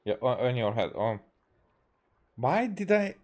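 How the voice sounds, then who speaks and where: A man from Hungary, a native Hungarian speaker, casually reacting as he streams a game, halting and thinking aloud. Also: medium pitch, big pitch swings, darkish tone.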